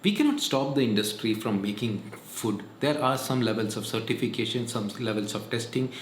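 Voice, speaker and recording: A man's voice: medium pitch, expressive, brisk. A man, giving earnest, repetitive health advice in a casual vlog monologue. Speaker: vlogger. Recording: echoey room, faint noise.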